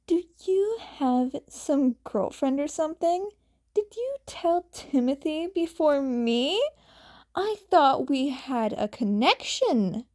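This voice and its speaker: A woman's voice: sits high, animated, slow pace, a little mellow, pitched above the performer's own voice. A woman voicing a nosy, teasing teenage girl in a story, prying and dramatic.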